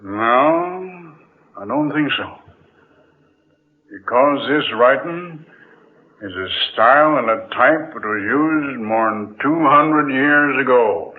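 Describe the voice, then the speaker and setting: An actor in an old-time radio drama playing a stern, skeptical sea captain, authoritative and blustering, who stammers when rattled. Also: male voice, mid-range voice, lively intonation, slow pace. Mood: grave, uneasy. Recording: tinny narrow-band vintage radio recording.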